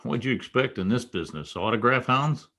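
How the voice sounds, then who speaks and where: A man's voice: mid-range voice, expressive, brisk, bright, forceful tone. A man playing a world-weary, wry private detective narrating his case in a 1940s radio noir style. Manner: wry, terse, wisecracking. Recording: home-recorded radio play, faint music.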